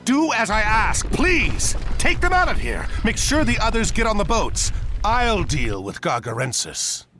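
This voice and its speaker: A man's voice: high voice, huge pitch swings, unhurried, exaggerated voice. An actor playing a resolute, heroic admiral in a video game, a man with a commanding and earnest delivery. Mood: urgent. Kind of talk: dramatic video game voice acting. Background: faint music.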